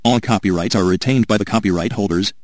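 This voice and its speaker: A man reading a station's legal disclaimer in a formal, brisk manner. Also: lowish voice, darkish tone, no echo, studio quality. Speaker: station announcer.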